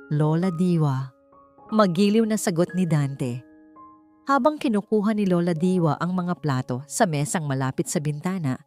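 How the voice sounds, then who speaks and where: Low-voiced woman narrating a dramatic story. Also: darkish tone, dry recording, clean studio sound.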